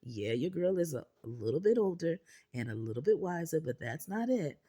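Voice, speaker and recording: A woman with a cold and a hoarse, deep, husky voice, chatting with viewers as the host of a budgeting channel. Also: very animated, moderate pace, dark tone. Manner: pushes her voice out to sound clearer; chatty, often asks viewers okay or right. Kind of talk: casual chat to camera.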